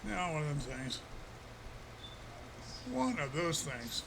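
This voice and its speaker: A man with a froggy, slightly hoarse throat, chatting casually with his viewers on a live stream while playing a farming game, with an easygoing, chuckling manner. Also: fairly high pitch, big pitch swings, takes it slowly, background noise. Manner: easygoing, chuckling, talks to chat by name.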